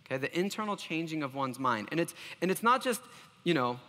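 A man's voice: on the high side, sing-song, fairly quick, pushed and bright, long reverb tail. A man preaching a sermon as pastor, earnest and self-deprecating, often restarting his phrases.

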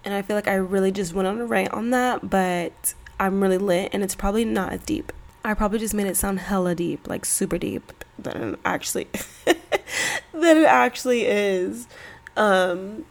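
Stuffy-nosed woman with a gravelly edge. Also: medium pitch, expressive, normal speed. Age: 30s. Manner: chatty, rambling, slangy, laughs between thoughts. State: stuffy from a cold. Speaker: podcast host. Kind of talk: casual solo podcast chat.

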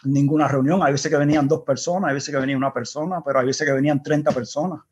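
A man with a Cuban accent, a guest in an interview, recalling the past in a reflective, unhurried way. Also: fairly high pitch, fairly even intonation, breathy edge, muffled recording. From Cuba. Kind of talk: conversational storytelling.

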